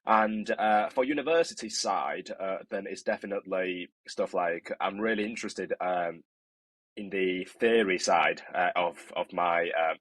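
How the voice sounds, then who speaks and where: A young man talks about his studies in a podcast, earnest and hesitant with frequent restarts. His voice sits low and husky. The recording is dry and close. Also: measured pace, a bit bright, dry recording. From northeast China. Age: 20s. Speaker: construction student guest. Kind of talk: podcast interview.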